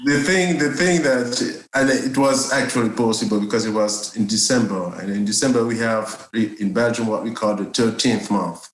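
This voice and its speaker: A man's voice: on the low side, fairly even intonation, normal speed, hardly any pauses. A man from Cameroon, a guest on a podcast, telling his story in a hesitant way with lots of fillers and laughter. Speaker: guest, jazz bass player. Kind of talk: casual interview chat. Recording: echoey room.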